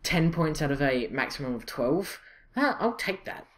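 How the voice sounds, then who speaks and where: A young Scottish man giving casual, excitable commentary over a football video game, chatty and full of fillers and self-corrections. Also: a little high, normal speed. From Scotland. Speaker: gaming channel host.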